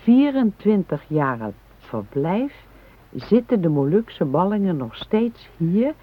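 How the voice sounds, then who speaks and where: An actress playing a fretful, nagging middle-aged wife in a naturalistic radio drama, earnest and reproachful, with a husky voice. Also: female voice, lowish voice, sing-song, slow, full character voice. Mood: earnest, reading aloud. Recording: tinny, phone-like radio recording.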